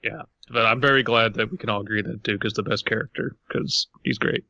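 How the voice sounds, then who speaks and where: A man's voice: lowish voice, steady tone, fairly quick, gravelly, pushed and bright, dry recording, muffled recording. A man co-hosting a podcast, talking casually in a group discussion. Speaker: podcast co-host.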